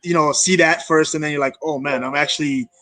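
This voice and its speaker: Higher-pitched young man, earnest and informal. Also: fairly even intonation, fairly quick, sharp tone, dry recording. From Kenya. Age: young adult. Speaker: interview guest, wrestler. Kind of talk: casual chat.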